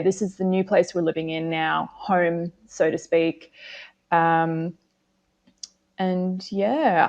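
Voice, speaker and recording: Australian-accented woman, low voice. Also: creaky, a little mellow. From South Africa. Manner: reflective, many fillers and hedges. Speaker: guest. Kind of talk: casual interview answers.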